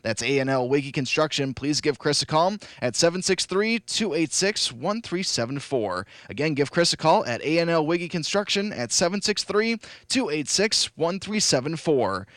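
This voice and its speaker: A man hosting a local radio call-in show, his voice hoarse and raspy from losing it, upbeat and repeating phone numbers slowly. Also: a little high, expressive, fairly bright tone, dry recording, clean studio sound. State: hoarse, lost voice. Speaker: radio host. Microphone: studio broadcast mic.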